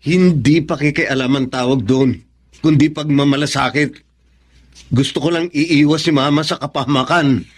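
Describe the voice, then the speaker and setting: An actor playing a suspicious, protective eldest son in a radio drama, accusing and insistent. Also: male voice, fairly high pitch, fairly even intonation, normal speed, lightly acted. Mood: suspicious, stern.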